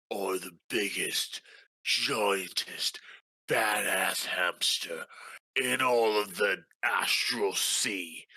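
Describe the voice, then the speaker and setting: Man playing a slow, raspy, tough-love adventurer. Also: on the low side, dry recording, full character voice. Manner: slow, blunt, profane, hushed intensity. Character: gruff tough-love male adventurer rallying his giant hamster.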